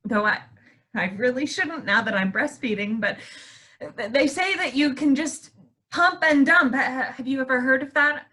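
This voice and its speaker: A woman's voice: sits high, moderate pace, pushed and bright. A woman acting a grief-stricken, unhinged wife in a staged play reading. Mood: dazed. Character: grief-stricken, unhinged wife clinging to stolen baby deer.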